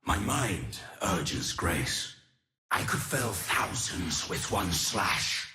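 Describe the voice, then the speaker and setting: An actor playing an ancient, noble god-warrior from a video game who fights his dark urges, speaking in a solemn, measured, formal way. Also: deep voice, sing-song, reverberant, exaggerated voice. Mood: tormented, restrained. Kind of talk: dramatic video game character lines.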